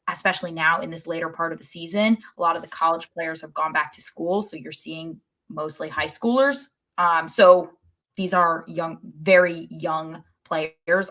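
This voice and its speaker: A woman's voice: sits low, fairly bright tone. An American woman co-hosting a sports podcast, chatting conversationally about the game. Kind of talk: conversational soccer podcast discussion.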